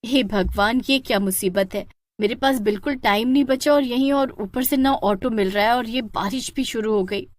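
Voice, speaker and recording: A woman's voice: fairly high pitch, normal speed, no echo, close to an everyday voice. A woman voicing a dreamy, self-doubting young woman in a cartoon story, with an exclaiming way of talking. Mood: flustered. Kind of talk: animated cartoon story dialogue.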